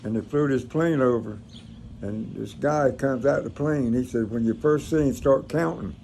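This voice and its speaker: A man's voice: medium pitch, moderate pace, warm tone. An older man, telling stories informally in a folksy, plain-spoken, rambling way, like a grandfather recalling his service. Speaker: grandfather recounting his military past. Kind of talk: informal reminiscing, storytelling. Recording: noisy room, clear background noise.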